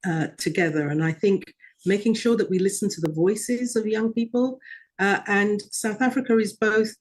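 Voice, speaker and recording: A woman interviewee speaks in a measured, considered way. She pauses often to choose her words and keeps a steady, mid-pitched voice. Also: slight background noise. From Guyana. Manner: measured, with frequent hesitations. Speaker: interview guest. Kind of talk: broadcast interview answers.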